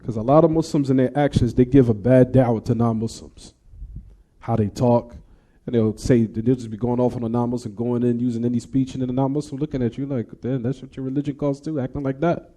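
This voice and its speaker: Very mellow, dark-voiced American male teacher. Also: medium pitch, steady tone, a little fast. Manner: emphatic, repeats and rephrases phrases. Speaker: religious teacher. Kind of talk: Islamic lesson, reading and explaining a text, then taking questions.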